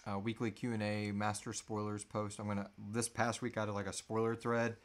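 A man's voice: fairly low pitch, little pitch movement, fairly quick. Loose, joking man in his thirties. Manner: loose, joking, casual swearing. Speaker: podcast co-host answering listener questions. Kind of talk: casual live Q&A chat. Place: small indoor room.

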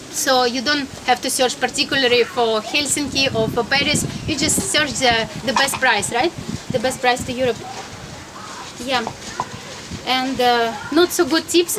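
A woman's voice: high voice, noisy background. A woman in her thirties from Russia with a Russian accent, giving a chatty, informal travel lecture outdoors and often questioning her listeners. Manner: chatty, laughs often, asks the audience questions. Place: outdoors, sunny courtyard among high-rise buildings. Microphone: camera in front, at a few meters. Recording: outdoor talk with audience chatter behind.

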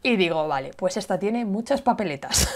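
A woman's voice: medium pitch, lively intonation, normal speed. Chatty woman co-host. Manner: chatty, informal. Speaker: co-host of a manga and anime news show. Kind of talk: casual conversational news chat. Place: home room on a video call.

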